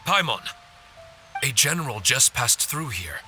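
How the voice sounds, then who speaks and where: A man's voice: medium pitch, sing-song, over music. A man voicing an earnest, forgetful male puppet guardian who muses aloud while trying to recall things. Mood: puzzled. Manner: ponders aloud, halting recall. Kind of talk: game cutscene voice acting.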